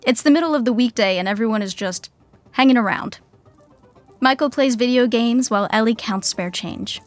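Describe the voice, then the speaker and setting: A woman narrating a scripted sitcom in a wry, deadpan, tongue-in-cheek way. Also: a little high, moderate pace, soft background music.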